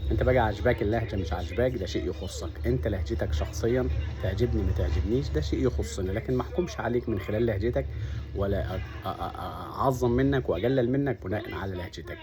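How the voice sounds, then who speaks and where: A man's voice: lowish voice, fast talker. A man from Upper Egypt with a Sa'idi accent, talking earnestly to camera and laying out his points one by one. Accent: Upper Egyptian (Sa'idi) Arabic. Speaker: vlogger. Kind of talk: casual monologue to camera.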